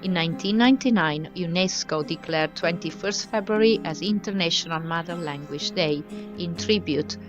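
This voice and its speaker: A woman giving a scripted documentary narration in an even, informative reading style. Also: lowish voice, normal speed, music in the background.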